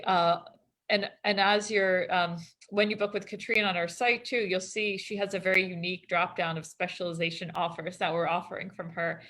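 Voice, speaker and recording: A woman's voice: lowish voice, fairly bright tone. A woman hosting a casual interview over a video call, enthusiastic and laughing often. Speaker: astrologer and host.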